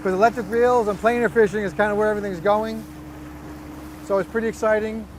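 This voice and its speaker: Casual male angler, notably high voice, explains gear step by step, light boat noise behind. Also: little pitch movement, quick pace, rough recording. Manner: laid-back, explains step by step. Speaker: fishing partner, co-host. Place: outdoors on a fishing boat offshore.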